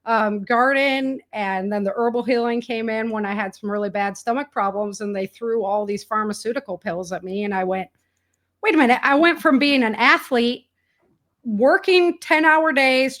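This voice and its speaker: An American woman chatting casually as an interview guest, telling stories in an animated way and acting out bits of dialogue. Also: a little high. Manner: animated storytelling, quoting imagined dialogue. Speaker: guest, author.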